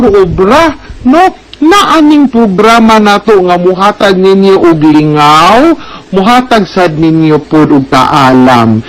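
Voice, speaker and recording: A Cebuano Filipino man with a notably high-pitched voice speaks as a radio host, taking his time over each phrase. He addresses listeners conversationally and keeps adding little tag questions. A light layer of background noise sits under his voice. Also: rough recording. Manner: frequent tag questions and filler checks with listeners. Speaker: radio advice programme host.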